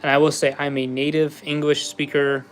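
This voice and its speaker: A man's voice: a little high, level tone, moderate pace, a little hiss or hum. A man chatting casually with viewers while building a Lego set on a livestream, easygoing and laughing often. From USA. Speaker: livestream host.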